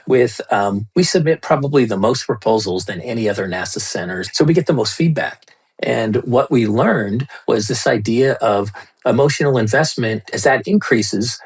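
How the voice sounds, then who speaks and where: Casting note: male interview guest, husky and slightly breathy, talks loosely with plenty of "uh"s and restarts. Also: mid-range voice. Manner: loose, with many fillers and restarts. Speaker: guest, storytelling lead at a space lab. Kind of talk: conversational interview answers.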